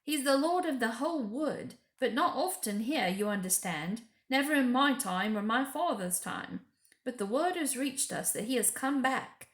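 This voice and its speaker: A woman's voice: fairly high pitch, expressive, normal speed, a bit bright, pitched above the performer's own voice. Lady doing mr beaver type storybook critter, male, earnest n folksy, really emphatic, echoey room. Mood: emphatic, reverent. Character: gruff, earnest, reverent adult male talking beaver. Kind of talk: children's storybook character voice.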